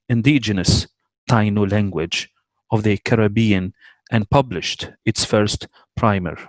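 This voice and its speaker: A man with a fairly low voice introduces a guest on an online call. He reads at a measured pace and takes care over names. The sound is dry and close. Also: darkish tone, no echo, low-quality recording. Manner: measured, careful with names, small self-corrections. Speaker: host introducing a guest speaker. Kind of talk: reading a formal introduction. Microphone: online video call.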